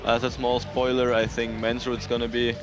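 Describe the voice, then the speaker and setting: A male event commentator speaks casually and informally, with non-native English phrasing. His pitch is medium and fairly even. Music plays clearly behind him. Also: moderate pace. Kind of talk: casual live sports commentary.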